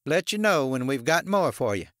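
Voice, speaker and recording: A man voicing a savvy paramedic in his late fifties, brisk, matter-of-fact and wry, in an audiobook. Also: a little high, very animated, fairly bright tone, no echo, studio quality, pitched above the performer's own voice. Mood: helpful. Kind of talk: audiobook character dialogue.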